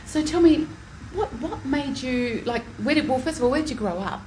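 A woman's voice: sits high, lively intonation, normal speed, darkish tone. Chatty Australian woman podcast host, noisy background. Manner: informal, effusive. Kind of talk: casual chatty interview.

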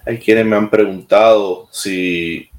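A man's voice: lowish voice, fairly even intonation, slow pace. A man from Puerto Rico with a Puerto Rican Spanish accent, talking as an interview guest in a direct, plain-spoken way with hesitant restarts. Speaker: military musician, interview guest. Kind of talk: casual interview talk. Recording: echoey room.

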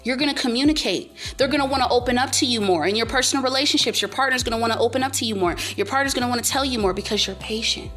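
Loud emphatic young woman, high voice. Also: very fast, hardly any pauses, soft background music. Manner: emphatic, preachy, repeats herself, speaks up loudly. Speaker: vlogger giving life advice. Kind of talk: casual motivational monologue to camera.

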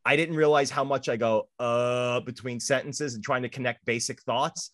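A man speaking over a video call, effusive and friendly, chatting in quick run-on bursts. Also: mid-range voice, fairly even intonation, a bit bright, dry recording, studio quality. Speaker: guest filmmaker. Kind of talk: casual chat.

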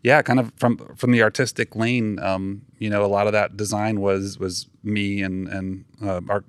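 Male guest, game artist, low voice, dry close sound, thinks aloud as he talks. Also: flat delivery, normal speed, clear, resonant tone, no room sound. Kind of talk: casual podcast chat.

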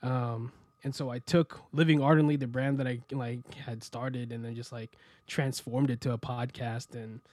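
A young American man telling his story as a podcast guest, relaxed and rambling with many fillers and restarts. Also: mid-range voice, normal speed, no room sound. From United States. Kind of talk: casual interview chat.

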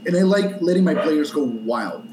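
A man's voice: a little high, lively intonation, normal speed, reverberant, noisy background. A man from Argentina, a YouTuber, explaining his approach earnestly on a panel. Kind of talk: casual panel chat.

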